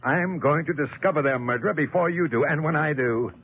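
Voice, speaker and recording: A man's voice: on the high side, a little fast, lightly acted. A man playing a brash, sardonic newspaperman with a swaggering manner, in 1940s radio drama style. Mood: taunting. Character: cocky, brash male newspaperman, defiant and taunting. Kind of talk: 1940s radio mystery acting. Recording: muffled vintage 1940s radio recording.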